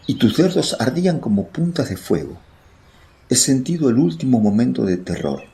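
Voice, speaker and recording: A man's voice: mid-range voice, gravelly, dark tone, slight background noise. A man playing a fearful, awed male apostle in a religious radio drama, speaking earnestly and emotionally. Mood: overwhelmed, awed.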